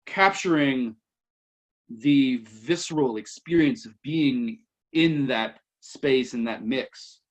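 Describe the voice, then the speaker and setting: A man's voice: medium pitch, takes it slowly, low-quality recording. A man hosting an interview, asking questions casually and offering short encouraging replies. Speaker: interviewer host.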